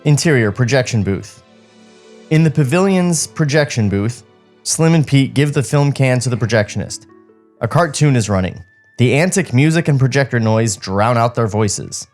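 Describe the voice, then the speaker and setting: A man's voice: medium pitch, lively intonation, normal speed, darkish tone. A man co-hosting a comedy podcast, reading a script aloud and bantering in a casual, mocking way. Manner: mocking, sardonic. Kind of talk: casual comic banter and script table read. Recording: music playing behind the voice. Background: background music.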